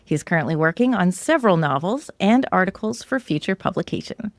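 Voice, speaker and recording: A woman's voice: lowish voice, animated, brisk, dry recording. A woman hosting a podcast, talking in a casual interview style. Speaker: podcast host.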